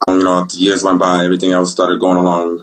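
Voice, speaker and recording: A man's voice: low-pitched, completely flat delivery, fast. Young man, Brooklyn accent, rambling slangy talk, faint hum behind. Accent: Brooklyn New York. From Brooklyn, New York. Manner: laid-back, rambling, slangy. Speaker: singer guest. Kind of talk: casual interview talk.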